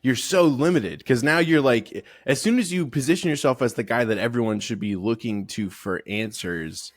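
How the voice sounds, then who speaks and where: A man who is a podcast guest, talking casually with a head cold, sniffling a little and getting animated. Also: medium pitch, normal speed, no echo, studio quality. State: stuffy with a cold.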